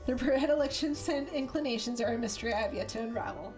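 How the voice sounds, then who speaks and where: A woman's voice: fairly high pitch, fast, a little mellow, lightly acted. A woman reading as a learned male elf scholar who speaks in a formal, old-fashioned way. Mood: solemn. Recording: game music behind voice.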